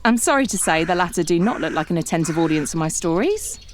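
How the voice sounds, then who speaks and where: A woman's voice: fairly low pitch, lively intonation, fast talker, slight background noise, natural voice. A woman playing a frightened, argumentative amateur detective aboard the Ark in a comedy audio drama, with an exasperated, insistent manner. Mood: wry, subdued narration. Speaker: lead actor and narrator.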